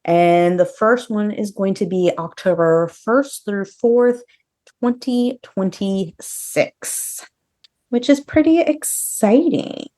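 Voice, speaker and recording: A woman's voice: medium pitch, slow, dark tone. A woman co-hosting a podcast, chatting casually about horror news in a conversational way peppered with filler words. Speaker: podcast co-host.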